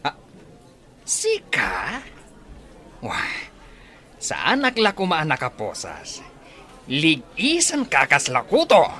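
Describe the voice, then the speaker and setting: An actor playing an indignant, defiant villain in an audio drama, protesting in exclamations. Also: male voice, fairly high pitch, huge pitch swings, slow pace, pauses often, pushed and bright, full character voice. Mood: defiant, taunting. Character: indignant male villain, loud accused wrongdoer.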